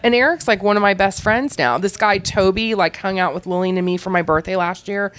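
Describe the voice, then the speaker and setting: A woman's voice: medium pitch, a little fast, a bit bright. A woman guest on a podcast, telling blunt, unembarrassed stories in a rambling way full of "like". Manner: rambling, heavy use of "like". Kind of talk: candid casual storytelling.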